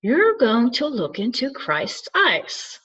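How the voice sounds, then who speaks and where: A woman from Turkey, a spiritual coach, talking alone to camera in an earnest, soft-spoken way, often pausing and restarting to find her words. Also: fairly high pitch, huge pitch swings, slightly rough. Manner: halting, searching for words, frequent restarts. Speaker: spiritual channeler and soul coach. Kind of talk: reflective solo monologue to camera. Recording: slightly dull lo-fi recording.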